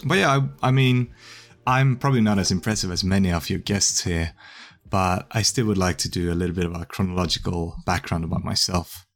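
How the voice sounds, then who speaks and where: Guy in his 30s from sweden and japan, really low voice, chatty, laughs at himself. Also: fairly quick, faint music behind. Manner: conversational, self-deprecating, laughs at himself. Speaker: guest. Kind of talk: podcast interview.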